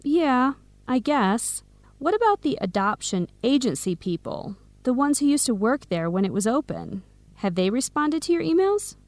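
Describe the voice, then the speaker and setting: Enthusiastic young friend, raised pitch, clear phrasing, dry radio drama studio sound. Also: female voice, very animated, moderate pace, a little mellow, dry recording. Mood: concerned. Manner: clearly phrased scripted explanations. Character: Samira, Helen's enthusiastic American best friend, aspiring business owner. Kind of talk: English-learning radio drama acting.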